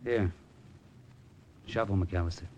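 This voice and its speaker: An actor playing a tough, cynical gambler with a sentimental streak in a laconic, slangy old radio drama style. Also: male voice, low voice, takes it slowly, dull audio, background noise. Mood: cool, challenging. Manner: hard-boiled, slangy, laconic. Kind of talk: 1950s radio drama acting.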